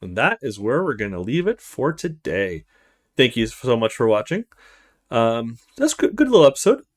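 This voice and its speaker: A man's voice: mid-range voice, big pitch swings, moderate pace. A man hosting a let's play, reading a visual novel aloud with casual asides.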